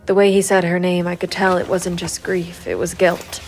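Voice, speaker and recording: Woman doing a gritty noir lady detective, low flat delivery, grim and determined, audio drama narration. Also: moderate pace, music in the background, natural voice. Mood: brooding. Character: hard-boiled, brooding female private investigator, quietly determined. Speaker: voice actor narrating and playing the lead of an audio drama.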